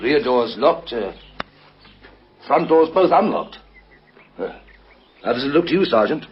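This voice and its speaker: Keen, lively constable. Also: male voice, mid-range voice, a little slow. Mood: alert. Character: keen, observant young male police constable. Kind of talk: vintage radio drama acting. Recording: dull old recording, faint noise.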